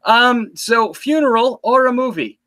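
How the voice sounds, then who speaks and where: A man's voice: extremely high pitch, animated, measured pace, sharp tone, no room sound. A man in his late thirties hosting a casual livestream chat, joking and self-deprecating. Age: 30s. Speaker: livestream show host.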